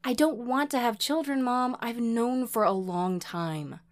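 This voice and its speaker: A woman's voice: on the high side, unhurried, pitched above the performer's own voice, natural voice. A woman voicing a defiant, resolute daughter in an audio story, firm and keeping emotion in check. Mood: controlled. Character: defiant, resolute daughter refusing to have children.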